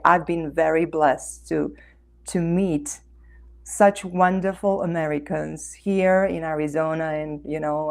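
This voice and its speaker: A woman from Romania answers interview questions in an earnest, effusive way. Her voice sits slightly low and carries a lot of fry. She sounds like an author and coach sharing her outlook in conversation. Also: darkish tone.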